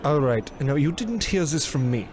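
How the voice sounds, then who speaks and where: Male actor as polite concierge, higher male pitch, hesitant, discreet, vintage radio play parody, music in background. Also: very animated, normal speed, a little mellow. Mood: nervous confiding. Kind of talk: old-time radio drama parody acting.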